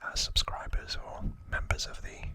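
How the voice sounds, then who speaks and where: A man hosting an ASMR video, narrating in a breathy, unvoiced whisper with a gentle, hushed delivery. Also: dry recording. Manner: slow, gentle, hushed delivery with drawn-out words. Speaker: host of an ASMR channel.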